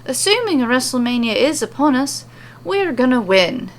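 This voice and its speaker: A woman narrator plays a swaggering Irish champion of a fantasy tale. She is theatrical, jolly and chummy, with a grim streak. The voice sits a little high, over faint noise. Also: big pitch swings, normal speed. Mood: friendly, boastful. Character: jolly, boastful Irish woman warrior champion, friendly then grimly dour.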